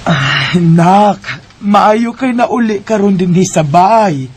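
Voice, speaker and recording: A man voicing a worried middle-aged mother who pleads gently with her son in a radio drama. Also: sits high, animated, slow, breathy edge, soft background music, voice pushed up, heavily acted. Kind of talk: homemade radio drama acting.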